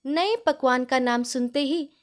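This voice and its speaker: A woman's voice: high voice, expressive, measured pace. A woman storyteller narrating a scripted moral tale.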